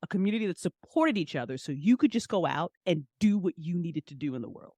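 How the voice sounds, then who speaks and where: Emphatic, expressive woman in casual conversation. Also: fairly low pitch, fast, no room sound, studio quality. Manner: emphatic, expressive, informal, repeats phrases for emphasis. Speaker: podcast guest, independent entrepreneur. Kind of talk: casual, passionate interview conversation.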